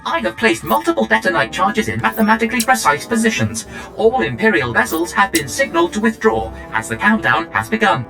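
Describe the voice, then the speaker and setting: An actor playing a polite, proud demolition droid in a video game, formal and pedantic. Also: male voice, high-pitched, lively intonation, brisk, gravelly, music in the background. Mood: proud, unruffled. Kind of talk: scripted video game acting.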